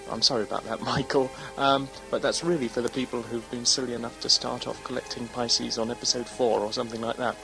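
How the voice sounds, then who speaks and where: A male host with an average-pitched voice presents in a chatty but prepared way. He reads out correspondence with expression, and music plays behind him. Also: a bit bright, poor audio. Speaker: host reading listeners' letters.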